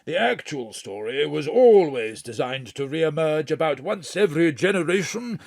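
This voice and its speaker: An actor playing a genial, self-satisfied Victorian author in an audio drama, with a grand, whimsical delivery. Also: male voice, on the high side, big pitch swings, moderate pace, no room sound, clean studio sound. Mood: genial, mysterious.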